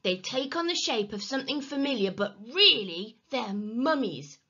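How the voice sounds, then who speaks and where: A woman's voice: a little high, sing-song, moderate pace, sharp tone, husky, dull audio, voice pushed up, exaggerated voice. A woman as a sly, bossy, blustering boy. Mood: sly persuading. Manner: blustering, wheedling, melodramatic. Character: sly, bossy, mischievous boy, older brother. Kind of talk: children's storybook reading.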